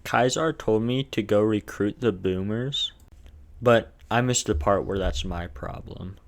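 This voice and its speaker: Low-voiced man with a lisp narrating gameplay. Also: a little slow, creaky. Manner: crude, profane, jokey. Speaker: gaming video narrator. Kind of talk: casual scripted challenge-run narration.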